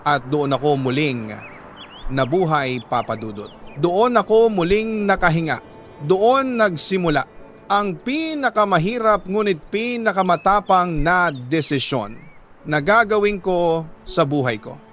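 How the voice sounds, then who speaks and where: A man reading a first-person letter as solemn, emotive dramatic narration, in short phrases with deliberate pauses. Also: sits high, lively intonation, fairly bright tone, faint music behind.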